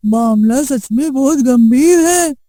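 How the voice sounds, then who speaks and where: Woman voicing a slow, high-pitched, wise old grandfather. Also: mellow, dry recording, clean studio sound. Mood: grave. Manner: measured, advising. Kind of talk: Hindi animated moral story acting.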